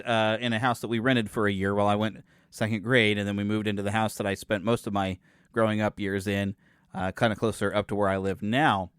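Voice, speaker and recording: A man's voice: on the low side, a bit bright, no room sound, studio quality. A man in his forties hosting his own podcast in a rambling, chatty way, often correcting himself and making jokes at his own expense. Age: 40s. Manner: self-deprecating and joking. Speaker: podcast host. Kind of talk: casual solo podcast chat.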